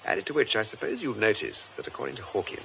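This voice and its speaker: An actor playing a composed, shrewd British gentleman detective in a radio drama. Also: lowish voice, very animated, fast talker, creaky, dull audio, background noise, exaggerated voice. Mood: brisk, reasoning.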